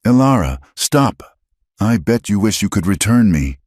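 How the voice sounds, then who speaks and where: A man's voice: medium pitch, moderate pace, vocal fry, slightly rough, dry recording, studio quality, voice pushed up. A man voicing a huge, lonely and fiercely strong young woman with a raw, pleading tone. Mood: hurt. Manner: raw, pleading then shouting.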